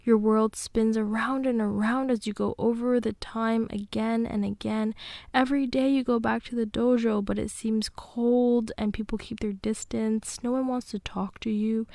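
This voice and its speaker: A woman narrating a radio drama in the second person, with deliberate phrasing and hushed, breathy passages. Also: on the high side, steady tone, no room sound. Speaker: narrator and host.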